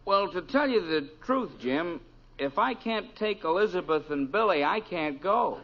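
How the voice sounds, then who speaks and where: A man playing an excitable, henpecked husband and father in an old-time radio comedy. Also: sits high, unhurried. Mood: eager, pleading. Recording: dull old radio recording.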